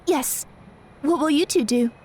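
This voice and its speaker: A woman's voice: high voice, unhurried, a little mellow. A woman playing a polite, earnest young dancer in an audio drama. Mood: earnest, respectful.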